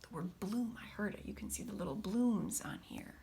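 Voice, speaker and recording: A woman teacher reading a picture book aloud to children, expressively, with casual, hushed explanatory asides. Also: mid-range voice, moderate pace, vocal fry. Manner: animated storybook delivery, dropping to hushed asides.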